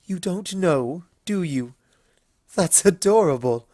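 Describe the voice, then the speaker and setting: A regal, teasing elder mare princess from a storybook , acted by a man. The voice is lifted high and takes its time, savoring words with a knowing, playful affection. The sound is close and dry. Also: lively intonation, mellow, no echo. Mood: smug, teasing. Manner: drawn-out, playful, knowing delivery. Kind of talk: fan fiction story reading.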